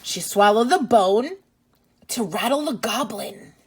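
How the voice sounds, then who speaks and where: A woman's voice: a little high, expressive, a little slow, breathy edge. A woman reading a storybook aloud to young children as a teacher and host, with exaggerated expression and asides to the listener.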